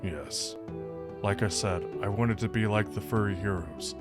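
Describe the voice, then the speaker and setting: A man voicing a big, gentle older bear doctor in a visual novel, speaking in a measured, reassuring, fatherly way. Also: lowish voice, close to an everyday voice. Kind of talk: visual novel character read-aloud. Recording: music behind the voice.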